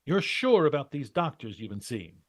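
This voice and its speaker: A man playing a shrewd, businesslike adventurer who leads his partners in a vintage-style radio drama, questioning briskly and wryly. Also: fairly high pitch, sing-song, dry recording, studio quality. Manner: brisk, probing, wry questioning.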